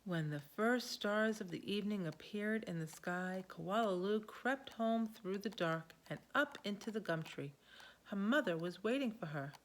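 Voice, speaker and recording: A woman reading a picture book aloud to young children in an expressive storytelling manner. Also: medium pitch, normal speed.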